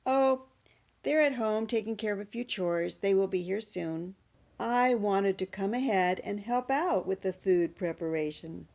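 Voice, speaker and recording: A woman's voice: mid-range voice, unhurried, a little mellow, a little hiss or hum, natural voice. A woman playing a bossy, particular sister who takes charge of the cooking, in a radio drama. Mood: brisk.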